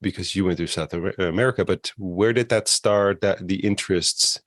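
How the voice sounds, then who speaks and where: A man with a lowish voice hosts a relaxed interview, recorded close and dry with no room sound. He builds his questions in rambling restarts and keeps the talk going with encouraging backchannels. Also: moderate pace, no echo. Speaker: interviewer, host. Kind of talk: casual interview conversation.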